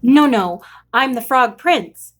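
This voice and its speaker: A woman's voice: fairly high pitch, lively intonation, slow pace, fairly bright tone, heavily acted. A woman voicing a hapless, polite storybook prince who pleads and gets flustered. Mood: polite, pleading. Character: hapless, polite, whiny male fairy-tale frog prince.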